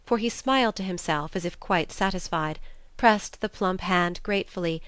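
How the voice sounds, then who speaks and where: A woman's voice: lowish voice, normal speed, fairly bright tone, smooth, dry recording. A woman reading a classic novel aloud as a scripted literary narration. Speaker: audiobook narrator.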